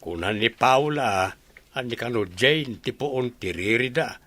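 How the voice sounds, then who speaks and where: A man's voice: medium pitch, animated, measured pace, fairly bright tone, dry recording. A man acting an inquisitive, gossipy villager in a radio drama.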